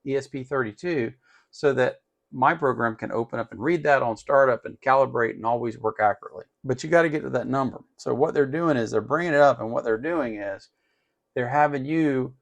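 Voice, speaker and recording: Man with a creaky voice explaining tech. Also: medium pitch, fairly quick. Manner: explains step by step while working, repeating himself. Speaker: electronics tutorial host. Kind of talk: casual unscripted hands-on software tutorial.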